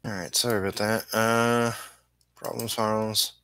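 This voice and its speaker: A man chatting casually on a livestream as an artist, halting and apologetic, often muttering to himself. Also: fairly low pitch, flat delivery, pushed and bright, clear, resonant tone, dry recording. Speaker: comic book inker livestreaming his work.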